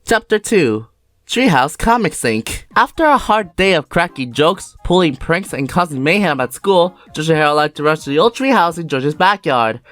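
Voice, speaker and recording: A narrator reading a children's storybook aloud in an animated, theatrical way for a fan dub. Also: medium pitch, moderate pace, no echo. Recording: music behind the voice.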